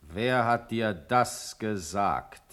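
An actor playing an imperious, composed Prussian ruler in a classical verse radio drama, curt and full of authority. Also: male voice, fairly low pitch, animated, extremely slow, a bit bright, exaggerated voice. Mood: stern, composed.

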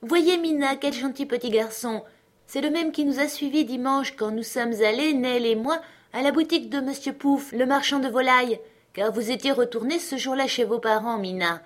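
Woman voicing a sweet, high-pitched eager little girl. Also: moderate pace, natural voice. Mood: admiring, eager.

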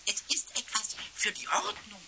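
A man voicing a cheeky, childlike little kobold in a 1970s children's radio play, squeaky and stammering with excitement. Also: normal speed, gravelly, noisy background, full character voice. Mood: excited, secretive. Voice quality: squeaky, shrill, piping.

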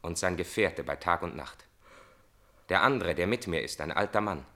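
An actor playing a grave, steadfast young stranger bearing a message in a classical radio tragedy, speaking in a solemn, insistent way. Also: male voice, low-pitched, lively intonation, moderate pace, pushed and bright, heavily acted. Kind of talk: classical tragic radio play acting.

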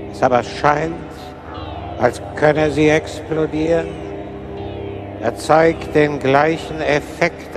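A smug thinking machine from a radio play, voiced by a man, lecturing with cool logic. The delivery is very slow and sober at a medium pitch, with music clearly behind it. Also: natural voice.

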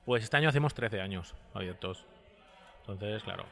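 A man's voice: on the low side, moderate pace, gravelly, a bit bright. A man, a bookshop owner answering conversationally in an interview.

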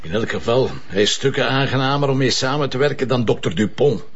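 An actor playing a police commissioner who asks probing, sceptical questions in a radio drama. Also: male voice, mid-range voice, brisk, natural voice. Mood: questioning. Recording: dull lo-fi radio play with faint noise.

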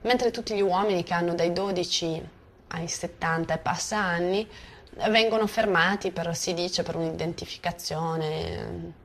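Low-voiced woman giving hesitant testimony. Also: normal speed. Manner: hesitant, searching for words. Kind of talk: spontaneous spoken testimony.

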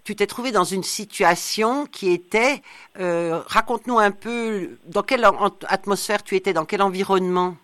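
A woman's voice: medium pitch, moderate pace, fairly bright tone. A woman hosting a radio interview, addressing her listeners and asking her guest loosely phrased questions. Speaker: radio host.

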